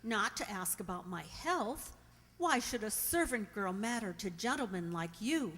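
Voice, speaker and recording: A woman's voice: medium pitch, expressive, a little slow, a bit bright. A woman playing a guarded, forlorn young maid in a radio mystery play, defensive and pleading. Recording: reverberant hall-like sound.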